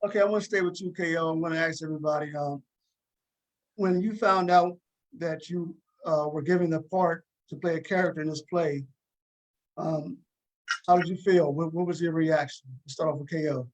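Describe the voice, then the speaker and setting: A man's voice: a little high, steady tone, normal speed, low-quality recording. A man hosting a casual talk show interview, encouraging his guests and often repeating himself. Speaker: host interviewing a play's cast.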